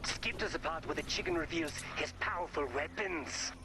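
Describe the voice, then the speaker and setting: A man voicing a literal-minded, politely sardonic robot teammate in a video game, deadpan and formal, with music behind. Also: fairly high pitch, normal speed, heavy background noise, lightly acted.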